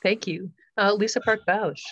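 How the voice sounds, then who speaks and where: A woman hosting an online short course on a video call, welcoming people and going over house rules with many pauses and ums. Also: medium pitch, a bit bright, highs cut off. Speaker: course host.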